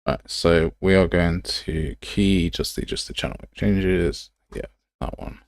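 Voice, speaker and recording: A man's voice: sits low, a little slow, dry recording, clean studio sound. A man giving a casual live tutorial, talking to himself as he works and sounding self-deprecating. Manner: muttering to himself while working. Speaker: game development livestreamer.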